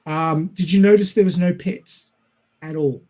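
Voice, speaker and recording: A man's voice: high voice, steady tone, measured pace, warm tone. A man co-hosting a casual film discussion, explaining his points and posing rhetorical questions. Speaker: podcast co-host.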